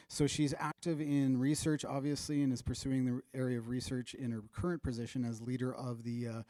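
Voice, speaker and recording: Guy introducing a speaker at a conference, mellow voice, lots of uhs, dry sound. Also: mid-range voice, dry recording. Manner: halting, many "uh" fillers. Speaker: conference moderator. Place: conference room.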